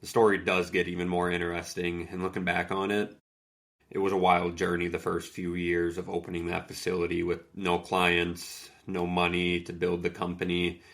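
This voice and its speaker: A man's voice: sits low, monotone, moderate pace, a bit bright. A young man hosting a solo podcast, talking casually and earnestly while getting over a cold. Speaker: podcast host and fitness coach.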